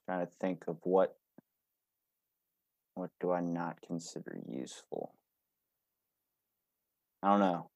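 A man's voice: sits low, takes it slowly, long pauses, dry recording. A man hosting a podcast in casual chat, self-deprecating and free with swearing. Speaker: podcast host.

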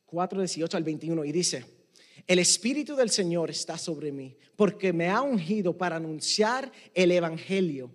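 A man with a Puerto Rican accent preaching a sermon, mixing Spanish with English and swinging from emphatic to hushed. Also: high voice, animated, moderate pace. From Puerto Rico. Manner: emphatic, rising and dropping to near hush. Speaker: pastor. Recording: long reverb of a hall.

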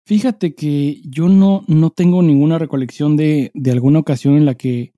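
A man's voice: on the high side, fairly even intonation, normal speed, dark tone, no echo, muffled recording. A man with a Mexican accent, a podcast co-host who speaks in a slangy, sweary style. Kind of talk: casual podcast chat; dramatized reading of a listener email over music.

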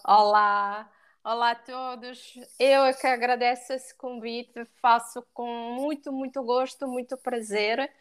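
A woman's voice: fairly high pitch, fairly even intonation, a little slow, clean tone. A woman from Italy with an Italian accent, a pet behaviour coach speaking as a podcast guest, talking with frequent fillers and restarts. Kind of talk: podcast interview.

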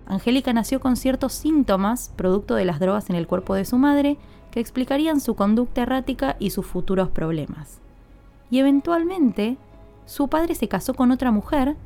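A woman hosting a podcast, telling stories in a measured, scripted narration. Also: fairly high pitch, mellow. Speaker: podcast host.

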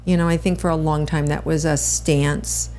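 A woman chatting on a podcast as host and spiritual channeler, rambling with quick laughs. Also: deep voice, flat delivery, darkish tone. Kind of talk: casual chat.

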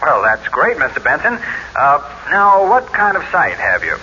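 An actor playing a polite, hesitant, obliging architect on the phone in an old radio drama. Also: male voice, fairly high pitch, wildly swooping pitch, normal speed, dull audio, heavily acted. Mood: agreeable, hesitant. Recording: sharp, noisy old radio telephone sound.

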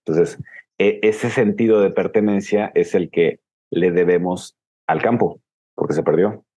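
A Mexican man in his forties hosts a podcast, talking in a reflective way and leaning on personal examples. His voice sits very low and sounds dark and mellow. The recording is dry, with a slightly dull, lo-fi sound. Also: measured pace, breathy edge, dry recording. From Mexico, Guadalajara. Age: 40s. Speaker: podcast host. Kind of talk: casual podcast conversation.

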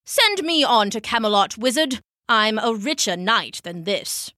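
A woman's voice: fairly high pitch, sing-song, a little slow, bright, forceful tone, no room sound, clean studio sound, heavily acted. A woman voicing an arrogant, spiteful male knight in a storybook tale, pompous and sneering. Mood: demanding. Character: arrogant, spiteful, whining adult male knight, indignant and cruel. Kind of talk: storybook character acting.

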